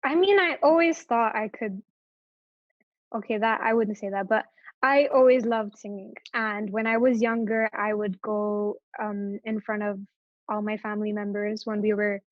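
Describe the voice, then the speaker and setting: A woman's voice: medium pitch, steady tone, moderate pace, dry recording, muffled recording. A young woman from Morocco, a guest talking casually in an interview and hesitating often with fillers and restarts. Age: young adult.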